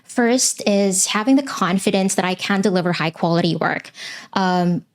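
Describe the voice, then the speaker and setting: A young adult woman from the Philippines, English not her first language, answering interview questions in an earnest, measured way with frequent pauses. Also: mid-range voice. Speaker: web designer guest.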